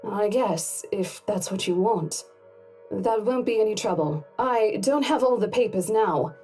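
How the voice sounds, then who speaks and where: An actress playing an obliging, reserved estate agent in a visual novel, polite and a little hesitant. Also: female voice, medium pitch, unhurried, mellow, soft background music, natural voice, rough recording. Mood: hesitant, accommodating.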